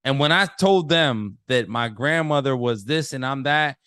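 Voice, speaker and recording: A man hosting a podcast, telling a story in a measured way, with pauses and fillers. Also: mid-range voice, pushed and bright, clear, resonant tone, no echo. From Puerto Rican and Italian descent. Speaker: podcast host. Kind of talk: casual discussion, telling a story.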